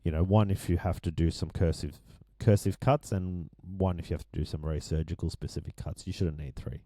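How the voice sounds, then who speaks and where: A man with a deep voice that is going hoarse, casually walking through his vocal mix with many ums and restarts. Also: steady tone, fairly quick, mellow, no echo, studio quality. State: losing his voice. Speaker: music producer explaining his mix. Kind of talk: casual screen-share tutorial.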